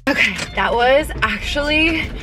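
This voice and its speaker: A woman's voice: sits high, expressive, measured pace, music in the background. A young woman from Canada chatting casually to a dashboard camera in her parked car, upbeat and full of "like". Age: young adult. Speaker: vlogger. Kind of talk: casual vlog chat to camera.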